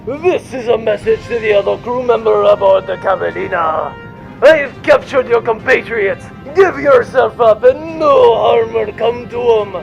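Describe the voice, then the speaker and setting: Loud, bombastic space pirate captain. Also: male voice, very high-pitched, lively intonation, normal speed, gravelly, highs cut off, faint music behind, heavily acted. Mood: smug threatening. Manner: bombastic, menacing, theatrical. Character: boastful, short-tempered male space pirate captain. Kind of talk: comic sci-fi audio drama acting.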